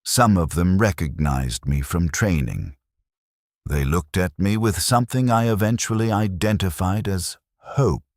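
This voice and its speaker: Very deep-voiced male story narrator. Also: a little slow, dry recording, clean studio sound. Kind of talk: scripted first-person war story narration.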